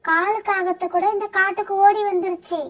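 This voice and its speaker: Brief: woman as a nosy sparrow gossip, high and chatty, cartoon. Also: fairly even intonation, brisk. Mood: gossipy. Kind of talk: cartoon voice acting.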